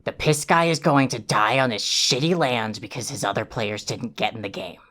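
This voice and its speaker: A woman's voice: extremely low pitch, moderate pace, fairly bright tone. A woman playing a foul-mouthed, contemptuous male alien troll in an audio drama, sarcastic and ranting. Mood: irritated, scornful.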